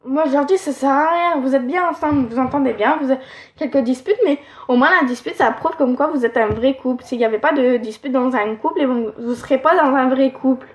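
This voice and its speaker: A woman's voice: sits high, a little fast. Teen girl vlogging advice, kinda nasal from allergies, rambles w lots of ums, roomy echo. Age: teens. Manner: rambling, frank, lots of fillers. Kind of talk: casual advice monologue.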